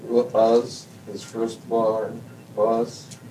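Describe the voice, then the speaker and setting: Man, reading aloud, halting, stumbles on names, low mellow voice, distant mic, faint rumble. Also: completely flat delivery. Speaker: study group member reading aloud.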